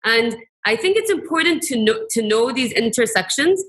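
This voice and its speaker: Bright-voiced woman giving an academic talk. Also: a little high, lively intonation, moderate pace. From Kuwait. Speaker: academic panel speaker. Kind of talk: academic panel presentation.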